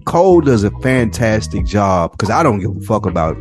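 Low-voiced man chatting over music. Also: very animated, normal speed. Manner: informal and slangy with frequent swearing. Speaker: podcast co-host. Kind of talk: casual opinionated chat.

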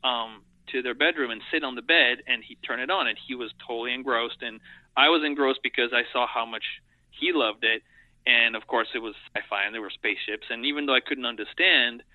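A man's voice: mid-range voice, fairly quick, no room sound. Middle-aged man, French native speaker, earnest interview guest; dry, phone-quality line. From Europe and Africa. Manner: earnest, reflective, thinks aloud in long sentences. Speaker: guest, animation editor. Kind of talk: casual interview talk.

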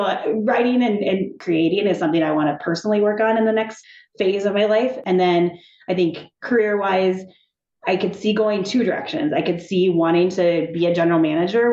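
Chatty woman in an echoey room. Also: on the low side. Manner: animated, chatty, laughing, many restarts. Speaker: TV executive, podcast guest. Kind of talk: casual interview chat. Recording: echoey room, dull sound.

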